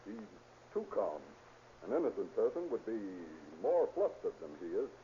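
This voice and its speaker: An actor playing a shrewd, sardonic police captain who questions with dry irony in 1930s radio drama style. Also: male voice, mid-range voice, huge pitch swings, normal speed, warm tone. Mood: thoughtful. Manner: probing, dryly ironic questioning. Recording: scratchy, muffled 1930s radio recording, hiss.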